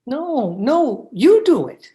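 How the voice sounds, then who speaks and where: A woman's voice: sits high, big pitch swings, takes it slowly, mellow, full character voice. A woman acting a meek, henpecked, frightened husband in an old-time radio thriller, timid and stammering.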